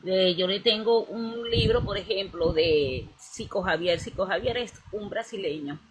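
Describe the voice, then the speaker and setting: A woman's voice: medium pitch, measured pace, a crowd in the background. A woman speaking in a casual spiritual chat, rambling and searching for words as she restarts her sentences. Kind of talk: casual conversation about spirituality.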